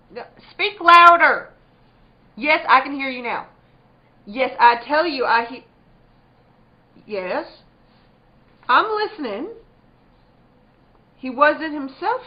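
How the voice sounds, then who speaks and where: An actress playing a careworn, protective rural wife, fretful and earnest in plain country dialect, in a radio play. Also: female voice, high-pitched, heavily acted. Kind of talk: theatrical radio-play acting. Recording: dull, noisy lo-fi recording.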